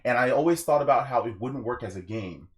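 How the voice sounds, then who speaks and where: A man's voice: mid-range voice, lively intonation, brisk. Emphatic young man podcasting about his hobby. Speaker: podcast co-host. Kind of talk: casual gaming podcast chat.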